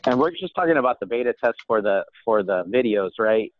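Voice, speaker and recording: A man's voice: fairly low pitch, expressive, brisk, slight background noise. Earnest man on a tinny phone line. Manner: earnest, emphatic. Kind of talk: casual video call meeting. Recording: tinny phone-like call audio.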